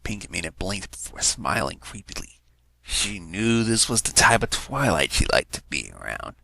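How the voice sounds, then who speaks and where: A man's voice: deep voice, sing-song, moderate pace, vocal fry, pushed and bright, dry recording, low-quality recording. A man reading fan fiction aloud as a scripted story narration.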